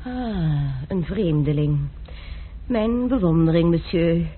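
An actor playing a cocky young noble in disguise who plays cards with insolent, teasing self-assurance, heard on a tinny old radio recording. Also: female voice, lowish voice, lively intonation, takes it slowly, slightly rough, full character voice. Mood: sly. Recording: tinny lo-fi old radio broadcast with faint hiss.

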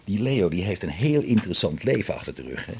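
A husky, lively, wry male doctor. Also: sits low, fast talker, exaggerated voice. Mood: easy, collegial. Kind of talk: naturalistic 1980s radio drama acting.

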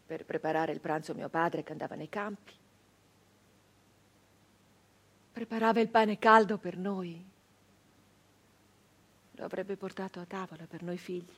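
An actress playing an anguished, defiant 19th-century Italian peasant brigand woman in a theatrical monologue, with emphatic repetitions and dramatic pauses. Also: medium pitch, husky. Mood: grieving, brooding. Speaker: stage actress performing a monologue.

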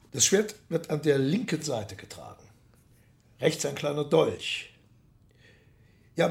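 A male expert in a radio documentary drama. His voice sits a little high with a gravelly fry. He explains in a precise, instructive and matter-of-fact way. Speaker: military expert narrator.

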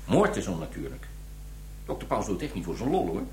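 Animated, teasing colleague, echoey room. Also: male voice, deep voice, brisk. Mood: teasing, unfazed. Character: unfazed, teasing male colleague at the morgue. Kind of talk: 1990s Dutch radio drama acting. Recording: echoey room, faint noise.

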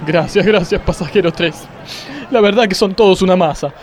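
Woeful high-voiced Argentine judge played by a man. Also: moderate pace, darkish tone, natural voice. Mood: woeful, earnest. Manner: plaintive, theatrical. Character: woeful, melodramatic male judge lamenting his petty troubles. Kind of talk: comic radio play acting. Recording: radio sketch with music underneath.

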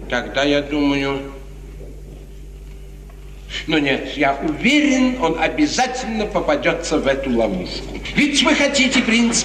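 An actor playing a scheming, cynical court adviser, insinuating and persuasive, with theatrical 1950s radio drama phrasing. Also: male voice, on the high side. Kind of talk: 1950s Russian classical radio drama acting. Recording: echoey, distant old radio play recording.

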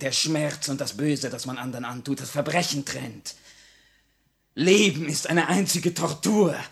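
A man's voice: medium pitch, gravelly, a bit bright, slightly rough, full character voice. A man acting a defiant, idealistic condemned revolutionary in solemn, impassioned 1950 radio drama style. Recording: vintage 1950 radio recording.

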